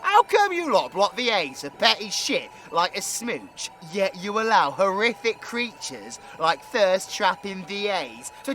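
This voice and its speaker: A man's voice: medium pitch, a bit bright. A British man playing a brash, foul-mouthed supervillain with a teasing, slangy manner in an audio roleplay. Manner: slangy, cocky, swearing. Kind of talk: villain audio roleplay, spoken to the listener.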